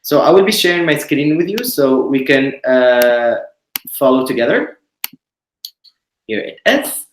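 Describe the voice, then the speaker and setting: A man from Tunisia hosting an online presentation, explaining in a measured way with frequent pauses. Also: mid-range voice, very animated, a little hiss or hum. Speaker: webinar host, committee director. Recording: roomy echo.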